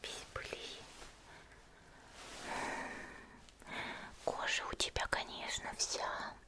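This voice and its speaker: A woman playing a cheerful, caring young female warrior in an ASMR spa roleplay, whispering gently and chattily without hurry. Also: close to an everyday voice. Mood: caring, chatty. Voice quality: breathy unvoiced whisper. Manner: gentle, unhurried, chatty, soft hesitations.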